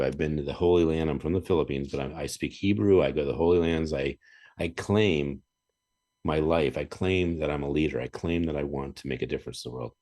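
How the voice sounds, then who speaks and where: A man hosting a podcast, chatting casually and telling animated stories with chuckles and frequent throat-clearing. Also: extremely low pitch. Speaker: podcast host. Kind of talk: casual interview chat.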